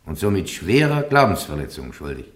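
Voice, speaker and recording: An actor playing a stern, formal church judge presiding over a trial in a radio play, speaking with authority. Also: male voice, low-pitched, big pitch swings, brisk. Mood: stern, commanding. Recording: echoey courtroom-like room.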